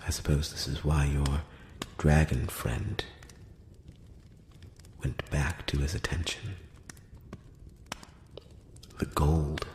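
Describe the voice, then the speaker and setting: A solemn elvish emissary, played by a man, whispers in an extremely low voice, close to the mic. His delivery is slow, formal and probing, with deliberate pauses. The room echoes around him over a faint hiss, in the style of a fantasy ASMR roleplay. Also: darkish tone, exaggerated voice. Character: elf emissary, male, solemn, measured and probing. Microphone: close-up microphone.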